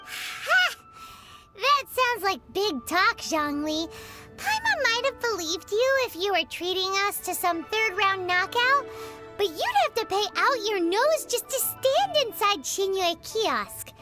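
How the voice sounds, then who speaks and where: A little childlike fairy sidekick from a video game. The voice is piercingly high and squeaky, chirpy and blunt, with animated swings in pitch. Music plays loudly behind it. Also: female voice, takes it slowly, full character voice. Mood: teasing. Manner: chirpy, blunt, speaks of herself in third person. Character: tiny, cheeky, childlike female fairy companion. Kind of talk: scripted game cutscene voice acting. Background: prominent game music.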